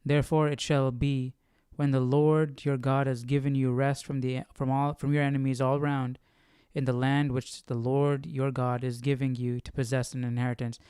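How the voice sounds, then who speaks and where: A man hosting a casual Bible study, reading aloud and commenting as he questions himself and thinks out loud. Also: mid-range voice, smooth, dry recording, studio quality. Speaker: host of a Bible study stream.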